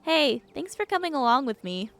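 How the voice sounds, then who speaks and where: A woman's voice: high voice, sing-song, moderate pace, fairly bright tone, no echo, faint music behind, voice pushed up, full character voice. A woman playing a friendly, eager, slightly nervous young small-town woman in a visual novel, chatty and apologetic. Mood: friendly, uneasy.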